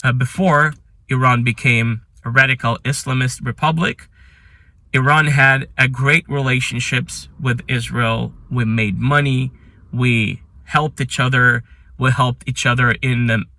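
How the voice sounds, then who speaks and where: Earnest non-native English-speaking man, bright. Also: medium pitch, no echo. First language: not English. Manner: earnest, emphatic and admiring, with halting non-native phrasing. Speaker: channel host. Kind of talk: ranking list commentary.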